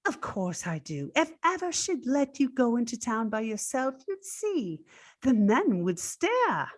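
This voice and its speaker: A woman's voice: fairly high pitch, very animated, a little slow. A woman playing a scheming, cosy, outspoken household servant in an old-time radio drama, coaxing and conspiratorial.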